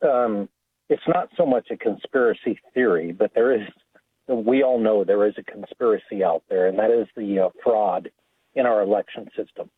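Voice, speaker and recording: A man's voice: on the low side, moderate pace, dry recording. A man calling in to a radio show, chatty and indignant, chuckling as he talks. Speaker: caller. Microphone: phone line. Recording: tinny, husky phone line.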